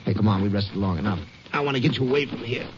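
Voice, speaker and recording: A man playing a wary, bossy American farmhand thief in a 1950s radio drama, gruff and slangy with clipped orders. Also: fairly low pitch, racing, natural voice. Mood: sly, scheming. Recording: muffled, noisy old radio broadcast.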